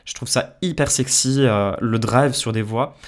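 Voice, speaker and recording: A man's voice: medium pitch, a little slow, slight background noise. Man presenting a casual tutorial. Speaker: music production tutorial presenter. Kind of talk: casual software tutorial, explaining step by step.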